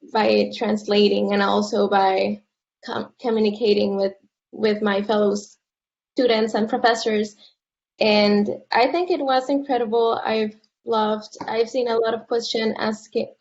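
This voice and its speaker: Woman with a gravelly voice. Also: mid-range voice, darkish tone, highs cut off. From Puerto Rico. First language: Spanish. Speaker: graduate student. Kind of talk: student sharing experience.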